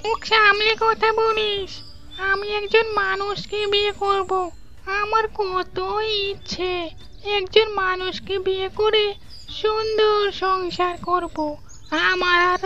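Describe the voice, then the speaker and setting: A woman voicing a feisty female ghost in a cartoon, shrill and headstrong, longing for marriage. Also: flat delivery, slow, lo-fi sound, full character voice. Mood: indignant, scolding. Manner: scolding, headstrong. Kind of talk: Bengali children's cartoon voice acting.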